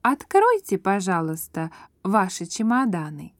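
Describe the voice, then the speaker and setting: A woman reading short phrases slowly and clearly for a language lesson, saying each word separately and then the whole phrase again. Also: medium pitch, huge pitch swings, no room sound, clean studio sound. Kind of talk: slow, careful phrase reading with repetition.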